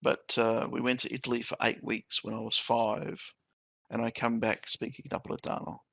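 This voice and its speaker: A man's voice: mid-range voice, little pitch movement, moderate pace, gravelly, fairly bright tone, no echo. A middle-aged man hosting a podcast, chatting loosely and leaning on "you know" and "um". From Australia. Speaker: podcast host. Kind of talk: casual chat.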